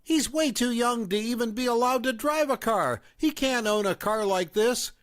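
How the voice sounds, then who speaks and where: A man putting on a shrill, accusing entitled mother. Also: normal speed, dry recording, clean studio sound.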